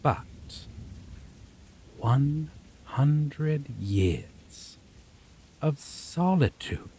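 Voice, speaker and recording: Man acting a very slow, theatrical ancient vampire. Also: on the high side, exaggerated voice. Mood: brooding, weary. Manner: slow, theatrical, breathy, drawn-out phrasing with whispers. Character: ancient male vampire, menacing, possessive, theatrically courteous, predatory. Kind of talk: ASMR roleplay monologue. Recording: steady rain and thunder noise behind.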